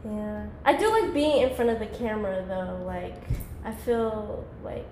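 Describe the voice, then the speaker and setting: A woman's voice: medium pitch, expressive, measured pace, a little mellow, echoey room, slight background noise. A woman in her twenties, a podcast guest, talking in a laid-back, rambling way with lots of "like". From Georgia, US. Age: 20s. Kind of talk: casual podcast chat.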